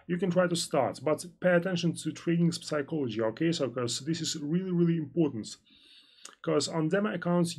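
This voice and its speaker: Rambling male trading analyst, slightly high voice. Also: a little mellow. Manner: loose and rambling, full of "so" and "well" fillers and restarts. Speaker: financial analyst hosting a live stream. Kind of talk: live-stream trading lesson, reading out viewer chat.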